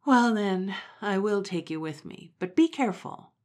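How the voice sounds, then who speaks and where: A woman's voice: mid-range voice, lively intonation, moderate pace, darkish tone, pitched above the performer's own voice, lightly acted. A woman voicing a commanding young hero. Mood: stern. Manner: firm, commanding. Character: young male hero leading an animal army, commanding.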